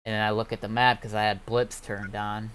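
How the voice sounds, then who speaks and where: A man's voice: on the low side, flat delivery, fairly quick, a bit bright, smooth. A man casually telling a rambling, sweary anecdote over voice chat during a game stream. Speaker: game server owner and admin. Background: music.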